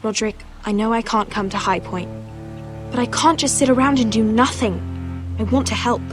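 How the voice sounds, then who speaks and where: Earnest, higher-voiced young noblewoman played by an actress. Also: moderate pace, music in the background, lightly acted. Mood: pleading. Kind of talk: video game dramatic acting.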